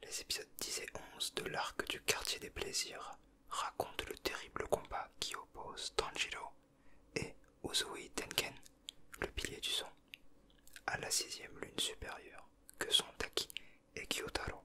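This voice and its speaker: A man whispering softly in a casual ASMR style, with a breathy, hushed voice. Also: measured pace. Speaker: ASMR video creator. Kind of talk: whispered ASMR, chatting and reading aloud from a booklet.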